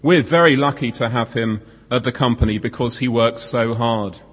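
Croaky-voiced man preaching in a hall. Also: mid-range voice, normal speed. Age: 40s. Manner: self-deprecating, conversational. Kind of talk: sermon. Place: church hall. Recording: long hall reverb.

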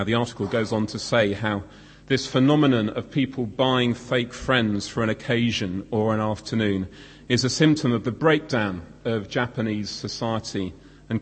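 A croaky man in his forties preaches in a conversational, self-deprecating way. His voice sits at an ordinary middle pitch. Long reverb from the church hall trails each phrase. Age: 40s. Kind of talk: sermon.

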